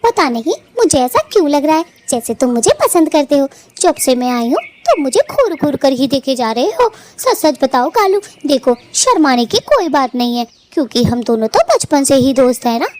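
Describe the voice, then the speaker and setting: High, lively, vain young female bird voiced by a woman. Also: moderate pace, hardly any pauses. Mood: teasing, coy. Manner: coquettish, self-admiring, chatty. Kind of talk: animated story dialogue.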